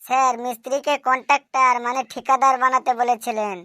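A woman voicing a cheeky schoolchild in a comic cartoon, answering in a deadpan, literal-minded, politely impudent way. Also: high voice, fairly quick, no room sound, clean studio sound. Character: cheeky schoolchild, earnest-sounding classroom smart aleck. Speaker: cartoon voice actor.

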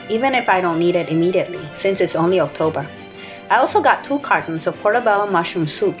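A woman in her thirties or forties narrates a scripted grocery haul in a steady, matter-of-fact voice of middle pitch. Her close-mic voice-over runs over faint background music. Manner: even, matter-of-fact listing of purchases. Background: soft background music.

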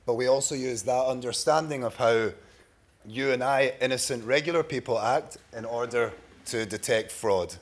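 A man in his thirties with a Scottish accent, giving a prepared startup pitch at a conference. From Scotland. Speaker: startup pitch presenter, sales executive. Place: large bright conference room, at a podium. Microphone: podium microphone.

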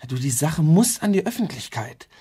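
A man's voice: on the high side, big pitch swings, moderate pace, mellow, slightly rough, full character voice. An actor playing an impassioned, idealistic younger man, pressing and informal, in a radio drama. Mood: urging. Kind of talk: German radio drama acting.

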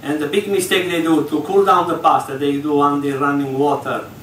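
A man with a fairly high voice walks an audience through a recipe on stage, in patient, slightly broken English. The sound is caught from far away in a large reverberant room, with faint background noise. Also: flat delivery, fairly quick, a bit bright. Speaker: executive chef. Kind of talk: live cooking demonstration. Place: large hall on a ship, in front of an audience. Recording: echoey hall from far off.

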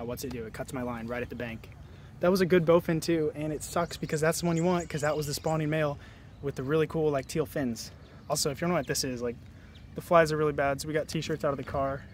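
A young man vlogging while fishing outdoors by a river, talking excitedly to viewers on an action camera. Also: a little high, slight background noise. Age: young adult. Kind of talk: casual outdoor vlog.

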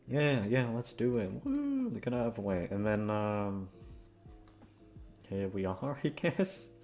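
A man's voice: on the low side, a little mellow. A young man chatting off the cuff to his listeners, rambling and hesitant, with casual swearing. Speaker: ASMR audio creator, voice actor.

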